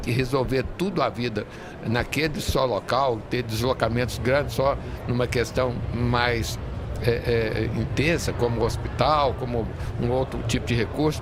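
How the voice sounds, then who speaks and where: Middle aged guy w explaining earnestly, noisy fair behind him. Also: medium pitch. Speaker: real estate industry association leader, podcast guest. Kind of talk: conversational interview answers. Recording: busy trade fair background noise. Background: urban fair ambience.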